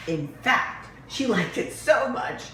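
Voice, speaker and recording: Animated, unhurried woman reading a picture book. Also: on the high side. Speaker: children's librarian reading stories. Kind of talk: expressive picture-book read-aloud for young children. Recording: roomy echo with faint background noise.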